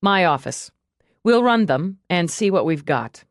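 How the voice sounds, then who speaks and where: A woman's voice: on the low side, lively intonation, measured pace, dry recording, clean studio sound. Woman acting a curt, commanding female police lieutenant. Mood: brisk, commanding. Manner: curt, blunt orders. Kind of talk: audiobook character dialogue.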